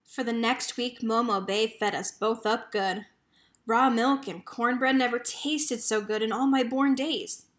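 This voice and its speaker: Higher-pitched woman reading a storybook aloud. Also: steady tone, unhurried, a bit bright. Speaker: read-aloud narrator. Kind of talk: expressive children's picture book reading.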